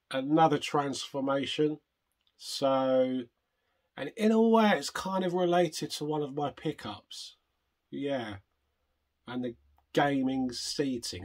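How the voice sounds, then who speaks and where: An older man hosting a retro gaming vlog, chatting casually and rambling, hoarse from a chest infection. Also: fairly high pitch. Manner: rambling, self-interrupting, chatty. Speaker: retro gaming channel host.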